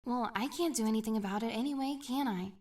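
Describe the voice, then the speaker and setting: A woman voicing an earnest young woman who speaks softly and hesitantly. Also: fairly high pitch, fast, recorded from a distance, natural voice. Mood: hopeful. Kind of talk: fan animation voice acting.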